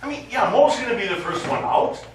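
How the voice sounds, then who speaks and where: An actor playing a supportive, needling astronaut in a stage play, talking in a colloquial, prodding way. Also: male voice, extremely high pitch, lively intonation, fairly quick. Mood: encouraging. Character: supportive, needling male astronaut crewmate who stays behind. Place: small studio theatre, recorded from afar. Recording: very echoey, noisy, distant stage recording.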